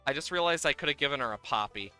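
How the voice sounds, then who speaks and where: A man's voice: medium pitch, lively intonation, racing, bright, forceful tone, clear, resonant tone. A man chatting casually and enthusiastically as he streams a game, rambling from thought to thought. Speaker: game livestream host. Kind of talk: casual chat over gameplay, reading game dialogue aloud. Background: video game music.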